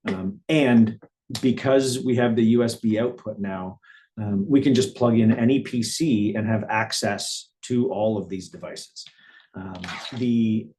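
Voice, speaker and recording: A man's voice: lowish voice, darkish tone, a little hiss or hum. A man presenting a live product demonstration in a webinar, explaining things conversationally and thinking aloud as he goes. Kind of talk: live product demo, casual technical presentation.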